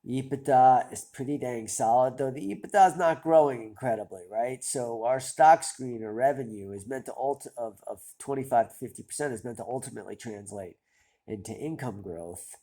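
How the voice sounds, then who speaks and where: A man's voice: medium pitch, moderate pace, mellow. A man with a nasal voice and a stuffy nose from a cold, hosting a stock-picking channel and thinking aloud in a hesitant, self-deprecating ramble. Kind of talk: casual thinking-aloud commentary.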